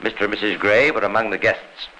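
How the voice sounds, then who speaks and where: A man narrating as a grave, authoritative storyteller in 1950s radio crime drama, formal, dramatic and deliberate. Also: fairly low pitch, steady tone, dull audio, natural voice. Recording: old radio recording with faint noise.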